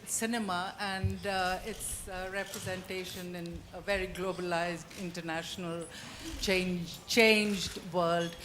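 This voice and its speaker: Soft, halting woman asking a question. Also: mid-range voice, slight background noise. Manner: soft-spoken and halting, with many fillers. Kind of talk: press conference question. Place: press conference room.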